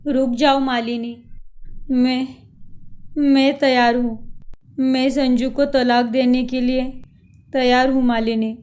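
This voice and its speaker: A woman's voice: sits high, flat delivery, slow, pauses often, darkish tone, smooth. A woman voicing a heartbroken young wife and mother who pleads tearfully. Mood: pleading.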